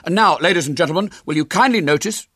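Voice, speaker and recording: Man voicing a high-pitched, pompous schoolboy showman. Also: fast, no room sound, clean studio sound. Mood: stern, indignant. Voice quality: hoarse when shouting. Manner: declamatory, stern, ungrammatical schoolboy speech. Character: pompous, indignant schoolboy playing showman, earnest and dignified.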